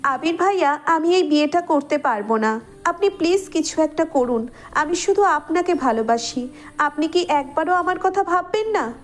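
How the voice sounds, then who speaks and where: A woman playing a very high-pitched pleading young bride. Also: animated, moderate pace, faint music behind. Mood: desperate pleading. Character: lovesick young bride, pleading, stubborn, tearful. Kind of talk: audio love story acting.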